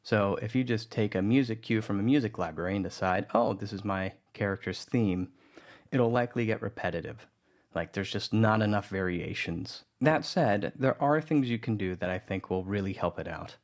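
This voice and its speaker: A man's voice: fairly low pitch, moderate pace. A man giving an informal, conversational tutorial voice-over, explaining things as a producer. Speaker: audio drama producer, tutorial presenter.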